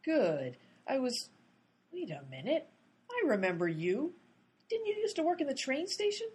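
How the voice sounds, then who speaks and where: A woman's voice: high-pitched, wildly swooping pitch, moderate pace, a little mellow. A woman playing an earnest, literal-minded amateur detective in a radio comedy, insistent and pedantic. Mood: puzzled.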